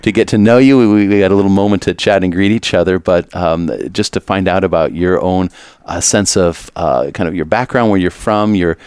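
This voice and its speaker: A man's voice: deep voice, steady tone, fairly quick, hardly any pauses, darkish tone, clean tone, no echo, studio quality. A man, a priest hosting a parish show, chatting casually with many hesitant restarts and laughs. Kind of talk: casual interview chat.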